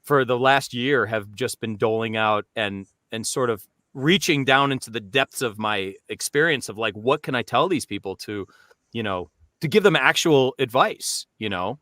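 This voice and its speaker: A man's voice: mid-range voice, expressive, moderate pace, pushed and bright, no room sound, studio quality. A man chatting casually as a guest voice actor, giving advice in a rambling way with many asides.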